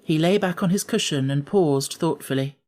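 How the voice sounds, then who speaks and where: A man's voice: fairly high pitch, moderate pace, darkish tone. A man reads as an audiobook narrator. His delivery is scripted storybook narration, telling a children's tale.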